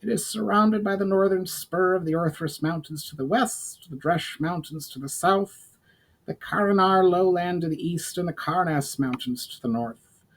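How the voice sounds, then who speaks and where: A man's voice: sits high, moderate pace, voice pushed up, rough recording. A man voicing an inquisitive, earnest goblin companion who speaks formally in a storybook reading. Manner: formal, questioning speech.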